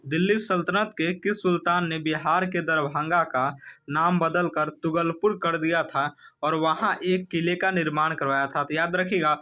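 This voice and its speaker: Bright, higher-pitched man teaching. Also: fairly even intonation, normal speed. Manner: repetitive, emphatic instructional delivery. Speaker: exam coaching teacher. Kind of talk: quiz lesson, reading out questions and answers.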